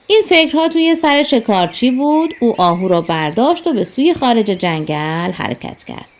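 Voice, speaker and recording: A woman narrating a scripted children's story in an expressive, storytelling manner. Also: on the high side.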